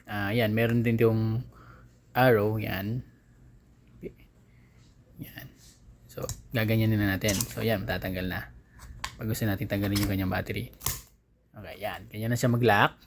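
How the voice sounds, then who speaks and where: A man with a Filipino accent giving a casual unboxing and review, informally explaining things step by step to his viewers. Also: lowish voice. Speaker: vlogger, product reviewer.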